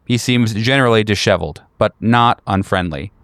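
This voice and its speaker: A man narrating a tabletop horror game as game master, in a measured, descriptive storytelling manner. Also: fairly low pitch, fairly bright tone, clear, resonant tone, no room sound.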